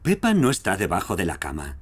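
A man's voice: deep voice, wildly swooping pitch, a little fast, slight background noise. A man with a Castilian Spanish accent reading scripted narration for a children's cartoon. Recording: music behind the voice.

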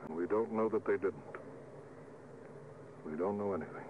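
A man's voice: low-pitched, normal speed, close to an everyday voice. Starship captain, male, played by an actor: suspicious, authoritative, old radio serial style, narrow tinny band with heavy hiss. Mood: grim, brooding. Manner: clipped, authoritative orders. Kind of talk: mid-century radio drama acting. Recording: noisy vintage radio, thin narrow band.

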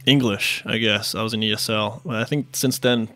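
A man in his thirties from Queens, answering interview questions in a relaxed, halting way with many fillers. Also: lowish voice, no echo. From Queens, New York. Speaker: restaurant managing partner, guest.

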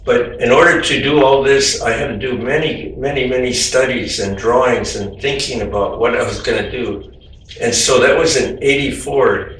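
An older man speaks gently and haltingly, pausing with "uh" and restarting his thoughts as he talks about his own artwork. He sits some way from the microphone in a very echoey room at home. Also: mid-range voice, recorded from a distance. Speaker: artist. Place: home room with windows, indoors.

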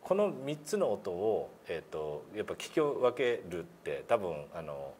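A man's voice: sits low, big pitch swings, measured pace, darkish tone, slight background noise. A middle-aged man coaching English pronunciation in a casual, hands-on way, demonstrating sounds in a meeting room. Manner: demonstrates single sounds and words, drawn out. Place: small meeting room, indoors.

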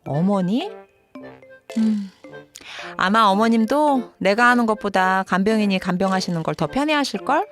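A woman's voice: mid-range voice, expressive, moderate pace, a bit bright, natural voice. A woman playing a practical working wife and daughter-in-law, pointed and matter-of-fact, in a dramatized story video. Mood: pointed, sarcastic. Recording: music behind the voice.

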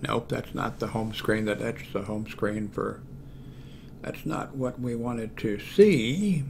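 Low-voiced older American man, halting. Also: vocal fry, a little mellow, slight background noise. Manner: halting, thinking aloud while clicking through pages. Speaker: video blogger giving a how-to demonstration. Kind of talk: casual unscripted screen walkthrough. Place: small room at home, indoors. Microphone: large desktop microphone close to the mouth.

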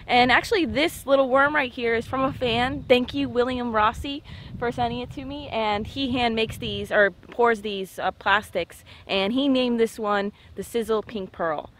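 A woman's voice: a little high, moderate pace, fairly bright tone, a little hiss or hum. A young American woman casually narrating a fishing vlog in an informal, slangy way from a small boat outdoors. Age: young adult. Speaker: fishing show host.

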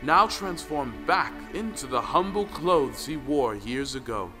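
A man of average pitch tells a folktale as a narrator. The delivery is scripted and dramatic, with music clearly playing behind him. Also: expressive, measured pace. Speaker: audio play narrator.

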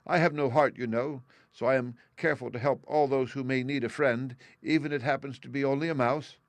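A man's voice: mid-range voice, steady tone, a little fast, no echo, voice pushed up, lightly acted. A man voicing a kind, courteous tin man for a storybook, speaking politely and earnestly. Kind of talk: audiobook character voice.

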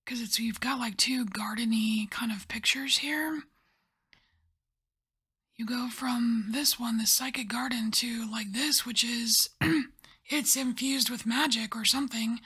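Breathy whispering woman reading tarot. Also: on the high side, steady tone. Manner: soft whispering, musing and unhurried. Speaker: tarot reader.

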